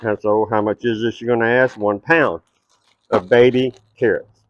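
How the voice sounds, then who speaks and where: A man's voice: mid-range voice, fairly even intonation, normal speed, dry recording, muffled recording. A middle-aged man with a Texas twang, hosting a cooking show at an outdoor backyard table and chatting straight to his viewers.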